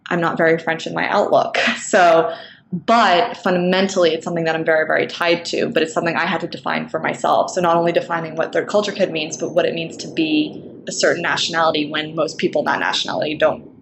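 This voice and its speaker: A young woman raised in Singapore with an American-sounding accent, speaking in a thoughtful, measured way with frequent ums in a recorded summit talk. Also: low-pitched, little pitch movement, creaky. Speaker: nonprofit co-founder. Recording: echoey room, faint background noise.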